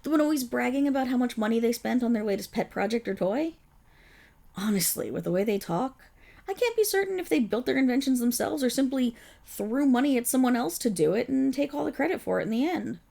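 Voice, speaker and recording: A woman's voice: on the high side, animated, a little fast, gravelly, mellow. A woman voicing a suave, scheming villain boss who talks in a silky, condescending way and purrs endearments, in an ASMR roleplay audio drama. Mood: scornful gossip. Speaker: voice actor.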